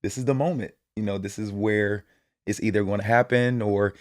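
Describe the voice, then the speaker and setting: Chill young guy from indiana, lowish voice, dry sound, talks loose with lots of fillers. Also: moderate pace, dry recording. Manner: relaxed, many fillers and restarts. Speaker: guest artist. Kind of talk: casual interview chat.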